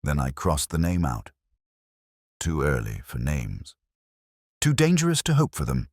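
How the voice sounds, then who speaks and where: Man, extremely low voice, lively intonation, scripted detective narration, measured and brooding, literary. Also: no room sound, clean studio sound. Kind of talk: scripted first-person mystery narration.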